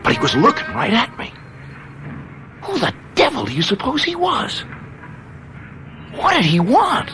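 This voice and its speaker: An actor in a radio drama playing a brash young hipster punk, cocky but haunted, slangy and stammering. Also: male voice, extremely high pitch, wildly swooping pitch, a little slow, long pauses, loud music behind the voice, exaggerated voice. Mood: agitated. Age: young adult.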